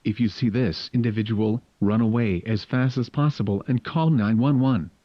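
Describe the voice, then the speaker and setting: A man's voice: mid-range voice, moderate pace, no echo. Synthetic male emergency announcer, dull lo-fi sound. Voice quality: synthetic text-to-speech voice. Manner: formal, impersonal. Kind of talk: scripted emergency alert announcement.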